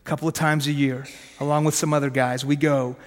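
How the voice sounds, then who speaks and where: A man whose first language is Farsi preaches with brisk, conversational punch, firing rhetorical questions and teasing himself. His voice sits a little higher than most men's. A long reverb hangs around him, as in a big church hall. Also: flat delivery. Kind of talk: sermon with personal testimony.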